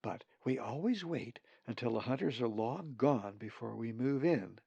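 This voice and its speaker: A man's voice: mid-range voice, expressive, moderate pace, creaky, mellow, slightly rough, no echo, highs cut off. A man voicing an opinionated, indignant, cautious female raven in a storybook, emphatic and disapproving. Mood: cautioning.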